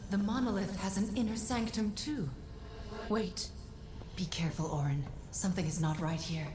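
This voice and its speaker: A woman playing a calm, solemn guide and adviser in a video game, speaking in a measured way. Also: medium pitch, noisy background, natural voice. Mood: watchful. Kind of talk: dramatic video game acting. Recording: echoey, over game music.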